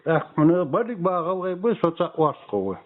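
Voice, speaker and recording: A man's voice: fairly high pitch, big pitch swings, rapid-fire. A man speaking natively, talking informally and argumentatively as a phone caller asking questions. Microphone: telephone. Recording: tinny phone line, music behind. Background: music.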